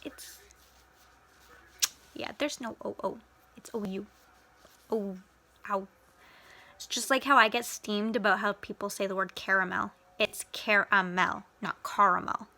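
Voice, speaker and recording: A woman's voice: mid-range voice, steady tone, measured pace, pauses often, pushed and bright. A young Canadian woman rambling casually as she answers viewer questions, swearing freely and trailing off into whispers. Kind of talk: casual chat.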